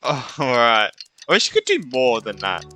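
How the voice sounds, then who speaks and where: A man giving casual, exclamatory playthrough commentary as an excitable game streamer. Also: medium pitch, moderate pace. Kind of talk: casual playthrough commentary, reading lines along.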